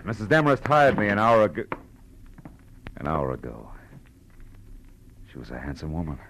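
A man's voice: sits low, wildly swooping pitch, moderate pace, vocal fry, background noise, full character voice. An actor playing a wry, hard-boiled male private detective in a 1940s radio noir, dry and terse. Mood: grim, terse.

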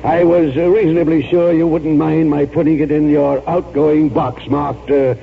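An actor playing a pompous, stubborn old retired colonel in an oratorical 1940s radio style. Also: male voice, a little high, flat delivery, normal speed, creaky. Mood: grandiose, combative. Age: older. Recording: tinny, noisy 1940s radio recording.

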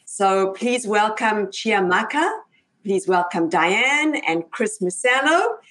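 Female host, medium pitch, conversational interview presenting. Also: lively intonation, slow, a bit bright. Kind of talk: interview show hosting.

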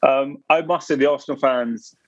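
A man telling a relaxed story about a football match on a podcast. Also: mid-range voice, normal speed, dry recording, highs cut off. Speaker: podcast co-host. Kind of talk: casual football chat.

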